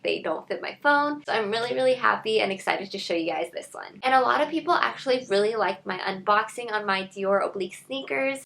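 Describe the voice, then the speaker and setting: A woman in her twenties, a little raspy today, gushing through a casual unboxing vlog in her bedroom with lots of filler words. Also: mid-range voice, a bit bright. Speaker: beauty and fashion vlogger. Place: bedroom, indoors.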